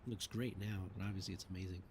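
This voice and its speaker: A man's voice: sits low, steady tone, fast talker, slight background noise. A man giving casual, candid commentary over gameplay, informal and prone to grumbling. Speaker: former game cutscene developer, commentator. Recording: voice-over with loud game audio behind. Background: loud game cutscene audio.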